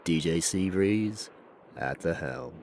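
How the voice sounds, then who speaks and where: A low-voiced, dreamy late-night DJ acted by a man on a fictional ambient radio station. He speaks in soothing, unhurried, hypnotic patter. Noticeable ambient noise runs behind his voice. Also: expressive, darkish tone.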